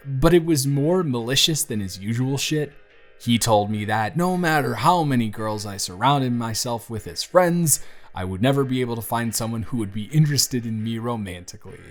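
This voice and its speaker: A man playing a bruised, self-deprecating teenage boy confiding in his best friend in an intimate audio roleplay, talking in a hesitant, gently wry, conversational way. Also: medium pitch, natural voice. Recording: music behind the voice. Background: soft background music.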